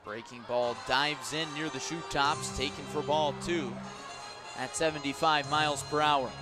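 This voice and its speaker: Male baseball announcer, slightly high voice, live radio commentary, descriptive phrasing, clear music in the background. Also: steady tone. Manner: descriptive, unhurried between pitches, punchier on the action.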